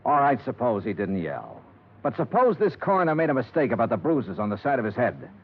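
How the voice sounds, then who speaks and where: An actor playing a tough, sardonic insurance investigator in a 1950s radio detective drama, clipped and wisecracking. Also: male voice, mid-range voice, huge pitch swings, brisk, husky, exaggerated voice. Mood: insistent. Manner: clipped, wisecracking, hard-boiled. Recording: muffled 1950s radio recording with music.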